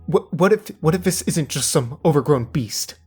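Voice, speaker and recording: A man's voice: on the high side, lively intonation, normal speed, a little mellow, husky. A man playing a frightened, superstitious young Viking explorer in an audio story, muttering nervously. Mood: fearful. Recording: music behind the voice.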